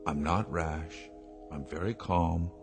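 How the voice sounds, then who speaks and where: An actor playing a calm, persuasive inventor and master of the house in a radio drama, speaking in a measured, formal way. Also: male voice, low voice, music in the background. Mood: insistent.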